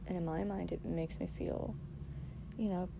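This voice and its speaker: Host woman, hoarse and quiet, voice cracking into fry, halting reflective monologue, noisy background hiss. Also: on the low side, fairly even intonation. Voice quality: raspy. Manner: soft-spoken, halting, reflective, many pauses. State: losing her voice, hoarse. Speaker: podcast host. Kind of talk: personal solo podcast monologue.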